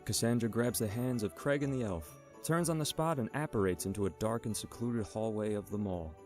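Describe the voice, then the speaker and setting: A man's voice: on the low side, brisk, darkish tone, soft background music, lightly acted. A man narrating a radio drama as a scripted storyteller. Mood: storytelling.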